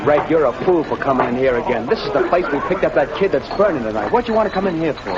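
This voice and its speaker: A man playing a nervous crook in a vintage radio play. The highish voice swings about in agitation, full of rough slang, cracking under pressure. The sound is dull and old. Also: animated, rapid-fire, over a noisy crowd, lightly acted. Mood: suspicious. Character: jumpy, guilty male gangster cracking under pressure. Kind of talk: 1930s radio drama acting. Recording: muffled, noisy old radio recording.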